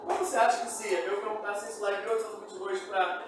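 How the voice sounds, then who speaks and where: A Brazilian man teaches in a didactic, questioning manner, as in a classroom lecture. His voice rings heavily around the room and reaches a distant microphone, with clear noise behind it. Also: high voice, steady tone, fast talker. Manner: didactic, prompts listeners with questions. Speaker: history teacher. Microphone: distant from speaker. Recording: echoey room, distant mic, noisy.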